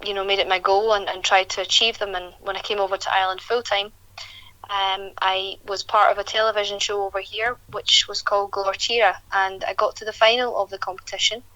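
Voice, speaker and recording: A woman's voice: medium pitch, flat delivery, brisk, pushed and bright, dry recording, dull audio. A young woman, a country singer chatting at length in an interview, often restarting her sentences. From Scotland.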